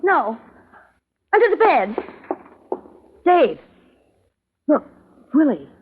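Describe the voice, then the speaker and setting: Scared guilty woman, high swoopy voice, faltering, pleading, old radio thriller, thin sound. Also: very animated, drawn-out words, full character voice. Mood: anxious. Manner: fearful, faltering, breathless pleading. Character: anxious, guilt-ridden female accomplice to murder. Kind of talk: old-time radio drama acting. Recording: narrow-band old radio recording, faint noise.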